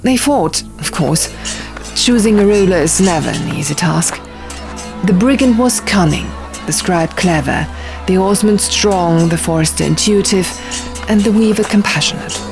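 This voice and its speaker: A woman voicing an earnest young man who recounts dark ancient lore in an audiobook, measured and theatrical, pausing for effect. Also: medium pitch, natural voice. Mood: dramatic, pointed. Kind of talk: audiobook character dialogue. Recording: music bed under the reading.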